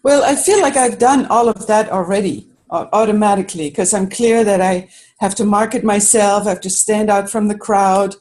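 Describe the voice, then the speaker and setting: Woman from Germany, small-business caller, thoughtful and hesitant, pauses to find words. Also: medium pitch, a little mellow. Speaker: interior designer, caller. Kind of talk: casual question on a group call.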